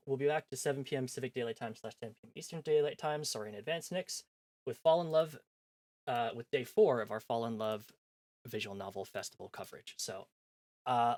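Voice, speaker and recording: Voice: medium pitch, darkish tone, dry recording. A sleepy livestream host chatting casually to viewers, in the manner of a stream sign-off. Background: background music.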